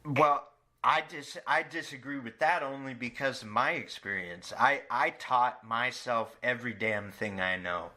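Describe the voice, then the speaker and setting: A man hosting a podcast in casual chat, speaking in a hesitant, halting way. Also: mid-range voice, pushed and bright. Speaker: podcast host, interviewer.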